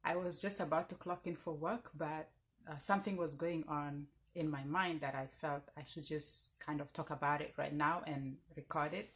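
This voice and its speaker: A woman in her thirties from Uganda with a strong Lugbara accent, talking earnestly and reflectively in a personal vlog, pausing often with fillers. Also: deep voice, fairly even intonation. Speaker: vlogger. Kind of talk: casual personal talk to camera.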